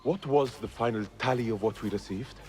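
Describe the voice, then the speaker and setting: A man's voice: medium pitch, fairly quick, darkish tone, natural voice. Man acting a curt, commanding dock boss. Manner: commanding, businesslike. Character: curt, callous male boss of dock guards. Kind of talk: dramatic video game acting.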